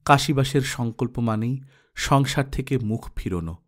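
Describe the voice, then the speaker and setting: A man's voice: medium pitch, moderate pace. Male storyteller reading literary fiction. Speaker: storyteller, audiobook narrator. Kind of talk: dramatic literary scripted narration.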